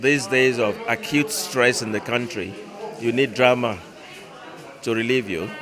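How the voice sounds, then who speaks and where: A man's voice: mid-range voice, measured pace. A middle-aged man with a Nigerian accent, speaking reflectively as an interviewed audience member. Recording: crowd chatter at a venue.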